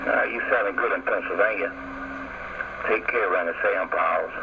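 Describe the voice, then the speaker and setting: A man's voice: low voice, moderate pace, highs cut off. A man on CB radio repeating his call sign and giving brief friendly sign-offs. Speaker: CB radio operator. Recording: static-heavy CB radio skip signal.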